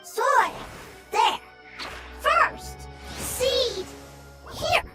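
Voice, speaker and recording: A woman's voice: very high voice, expressive, drawn-out words, exaggerated voice. A woman voicing a small, simple, anxious wild creature for a video game, speaking in broken, clipped fragments. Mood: eager, urging. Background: loud game music.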